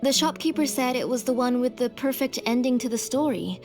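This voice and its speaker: A woman's voice: high-pitched, animated, brisk. A woman voicing an anxious, devoted mother in a video game, earnest, humble and protective. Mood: apologetic, worried. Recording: prominent background music under the dialogue.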